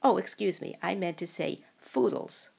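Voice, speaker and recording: An older woman voicing an earnest, clever little boy in a storybook, explaining rhymes in a deliberate way. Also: fairly low pitch, lively intonation, gravelly, a little mellow, husky, exaggerated voice. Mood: helpful. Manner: deliberate, drawn-out rhyming explanations.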